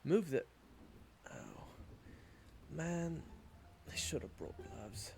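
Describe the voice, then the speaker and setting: A man's voice: on the high side, huge pitch swings, very slow, creaky, dark tone, exaggerated voice. A man acting a hesitant, reluctant young newcomer in an audio roleplay. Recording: music bed under the voice. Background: background music.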